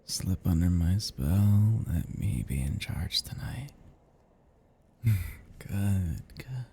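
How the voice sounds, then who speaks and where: A very dark, velvety-toned male vampire, performed by a man for roleplay ASMR, murmuring softly and slowly with a gravelly creak. He is seductive and possessive, teasing his prey with playful menace and swinging expressively in pitch. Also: big pitch swings, slight background noise, full character voice. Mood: hypnotic, commanding. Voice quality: low, soft, breathy murmurs dropping into whispers. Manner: teasing, slow, lingering, chuckling between phrases. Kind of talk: intimate roleplay ASMR monologue.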